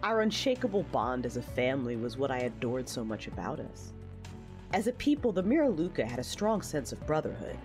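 A woman playing a reflective, war-weary young female knight, narrating her memories earnestly in an audio drama. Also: rumbling low. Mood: fond, nostalgic. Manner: earnest, reminiscing.

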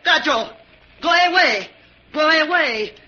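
Shrieky old fortune teller witchy hag, high n sharp, cursing everybody, old muffled radio show. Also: lively intonation, slow, exaggerated voice. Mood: furious, cursing. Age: older. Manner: shrieking, doom-laden pronouncements. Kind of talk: old-time radio melodrama acting. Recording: muffled vintage radio recording, faint noise.

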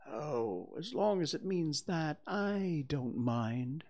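A storybook reader voicing a humble, slow-witted, dreamy toy bear with a hesitant, wistful manner. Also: medium pitch, animated, vocal fry, voice pushed up, exaggerated voice. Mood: puzzled, humble.

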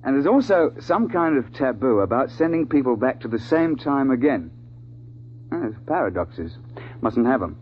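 An actor playing a gruff, no-nonsense big-game guide in a 1950s radio drama, brisk, colloquial and authoritative. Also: male voice, medium pitch, sing-song, a little hiss or hum, close to an everyday voice. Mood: patient explaining. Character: gruff, no-nonsense British big-game safari guide recounting his hunt. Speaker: narrator and lead character. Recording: tinny 1950s radio broadcast, music behind.

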